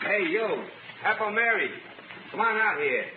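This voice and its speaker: A man's voice: sits high, expressive, normal speed. An edgy, slangy American gangster henchman. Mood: rough. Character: jumpy, talkative gangster henchman, rough and superstitious. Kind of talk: 1930s radio acting. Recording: faintly noisy, narrow-band 1930s radio.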